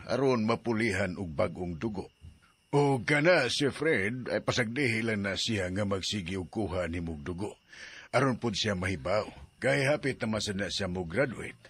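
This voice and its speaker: A man playing a calm, husky-voiced doctor. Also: fairly low pitch, no echo, full character voice. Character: calm male doctor, her employer.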